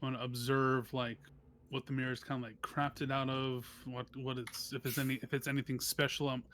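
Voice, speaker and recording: A man playing a tabletop roleplaying game, chatting casually in a hesitant, halting way. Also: mid-range voice, flat delivery, a bit bright, a little hiss or hum.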